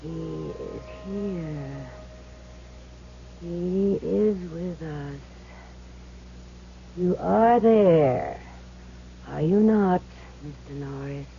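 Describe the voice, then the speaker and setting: An actress playing a fed-up, sharp-tongued woman who works as a fake medium in a vintage radio drama. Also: fairly low pitch, very slow, gravelly, long pauses, heavily acted. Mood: solemn, theatrical. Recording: muffled noisy old radio recording.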